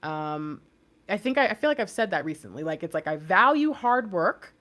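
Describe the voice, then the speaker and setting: A woman's voice: fairly low pitch, big pitch swings, moderate pace, fairly bright tone. A woman in her thirties from the United States, giving a casual tarot reading in a chatty, rambling way and laughing often. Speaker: tarot reader.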